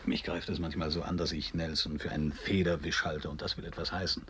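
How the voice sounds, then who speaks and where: Tiefen, spöttischen Seefahrer. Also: male voice, extremely low pitch, little pitch movement, brisk, fairly bright tone, a little hiss or hum. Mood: wry, musing. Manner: sardonic, declamatory, teasing. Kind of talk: German radio play acting, literary dialogue.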